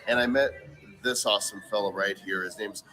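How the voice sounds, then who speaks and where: A man's voice: fairly low pitch, steady tone, brisk, noisy background. A man hosting a casual interview on location, asking questions in an emphatic way. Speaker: interviewer, host.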